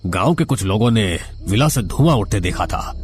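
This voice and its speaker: Guy doing matter of fact official, formal n measured, horror story, kinda low voice. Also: lively intonation, natural voice. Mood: grave, puzzled. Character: matter-of-fact male official briefing a patient.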